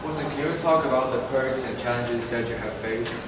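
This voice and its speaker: A man's voice: mid-range voice, fairly quick. A young man speaking in an informal student interview, asking and reflecting. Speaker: student team member and interviewer. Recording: very echoey, distant, noisy room.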